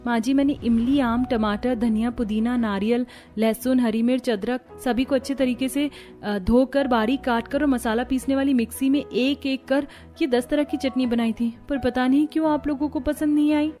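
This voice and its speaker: A woman's voice: high voice, fairly even intonation, moderate pace, dark tone, natural voice. A woman voicing a dutiful, weary young daughter-in-law in an audio story, polite and plaintive. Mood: eager, polite. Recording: music behind the voice.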